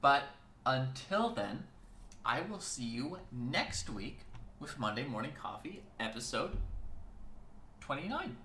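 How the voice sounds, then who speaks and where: A man hosting a weekly casual chat show, talking in a rambling way with lots of fillers and restarts, his voice hoarse. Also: mid-range voice, sing-song, slow, pauses often, pushed and bright, echoey room, slight background noise. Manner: rambling, filler-heavy, self-correcting. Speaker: host.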